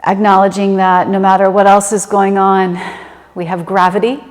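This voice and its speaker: A woman's voice: medium pitch, flat delivery. Woman guiding meditation in a reverberant room. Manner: gentle, unhurried, conversational instruction. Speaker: meditation guide. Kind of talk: guided meditation. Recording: reverberant room with long echo.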